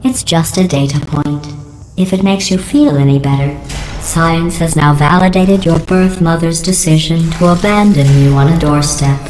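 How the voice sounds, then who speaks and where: A woman voicing a sardonic female artificial intelligence in a video game, deadpan and falsely polite, with a synthetic robotic sound. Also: low-pitched, normal speed. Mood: deadpan mockery. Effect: synthetic robotic voice processing. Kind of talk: scripted video game voice acting. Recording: echoey game audio, clearly noisy background.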